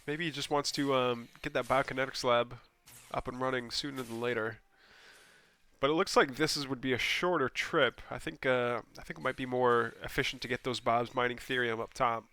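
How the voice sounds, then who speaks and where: A man casting a game replay on his own, with a stuffy, scratchy voice from a cold, talking casually with lots of ums and restarts and sudden bursts of excitement. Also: mid-range voice. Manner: casual, rambling, with frequent ums and self-corrections. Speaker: game caster. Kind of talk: solo replay commentary.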